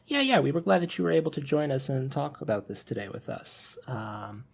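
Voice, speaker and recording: A man's voice: a little high, big pitch swings, brisk, creaky, slight background noise. Casual male podcast host. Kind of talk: casual podcast chat about visual novels.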